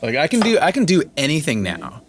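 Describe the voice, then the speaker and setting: A man's voice: fairly high pitch, huge pitch swings, a little fast, background noise. A man hosting a casual conversation as a musician, talking candidly and self-deprecatingly while he searches for words. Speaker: host musician.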